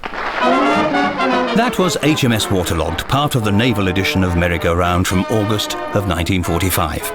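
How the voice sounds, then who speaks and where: Loud brass music runs behind a man hosting a radio programme. His voice is slightly low and animated as he reads a scripted link. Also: normal speed.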